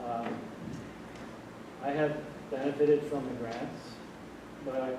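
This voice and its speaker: Man in his sixties, soft-spoken, rambling with fillers; distant mic in a very echoey hall. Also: medium pitch, steady tone, slow pace, noisy background. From Vermont. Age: 60s. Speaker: audience member at a panel. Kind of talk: public comment at a panel discussion. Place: large hall. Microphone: distant room mic.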